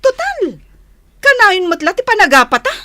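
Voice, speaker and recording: A woman's voice: extremely high pitch, huge pitch swings, normal speed, bright, forceful tone, no echo, exaggerated voice. An actress playing a weary young mother who is fed up with her marriage, in a radio drama.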